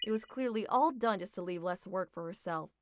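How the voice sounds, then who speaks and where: A woman's voice: medium pitch, fairly quick, dry recording, studio quality. Woman narrating a manga dub story. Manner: steady, even, reflective reading.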